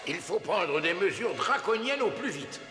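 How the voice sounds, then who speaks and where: A man's voice: sits high, big pitch swings, normal speed, over music. A man voicing a stern, pompous elephant courtier with emphatic delivery in a French-dubbed cartoon. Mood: urgent.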